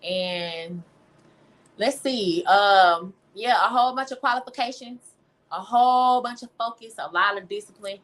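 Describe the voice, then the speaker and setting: A woman's voice: medium pitch, a little slow, a bit bright, poor audio. A young woman from Louisiana with a Southern American accent, chatting informally and emphatically as an interview guest. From Louisiana, United States. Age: young adult. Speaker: interview guest, singer and songwriter. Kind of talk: casual interview chat.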